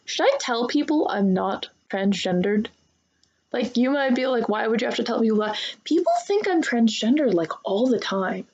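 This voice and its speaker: A woman's voice: on the high side, a little mellow, muffled recording. A woman vlogger chatting casually and confessionally to her viewers in a rambling, conversational way, often acting out bits of dialogue. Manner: rambling, conversational, frequently acts out imagined dialogue.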